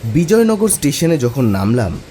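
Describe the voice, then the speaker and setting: A man playing a young office worker, narrating his own eerie story in an expressive storytelling manner. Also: mid-range voice, moderate pace, background noise, close to an everyday voice. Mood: matter-of-fact. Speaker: narrator and lead character. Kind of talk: dramatic first-person horror story narration. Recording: music behind the voice.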